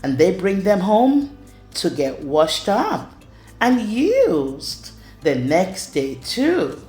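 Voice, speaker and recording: A woman reading a rhyming children's storybook aloud in an expressive way. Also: on the low side, very slow. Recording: roomy echo with music underneath. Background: music.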